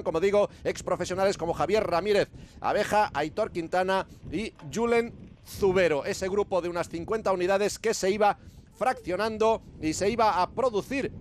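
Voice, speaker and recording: Male sports commentator, Spain accent, high voice, race highlights read over clear vehicle and outdoor noise. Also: moderate pace. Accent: Spanish (Spain).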